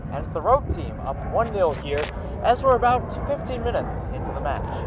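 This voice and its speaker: A man's voice: high-pitched, very animated, moderate pace, very noisy. A man giving live radio play-by-play of a soccer match from outdoors at the field, calling it in short running phrases with asides. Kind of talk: live radio sports commentary.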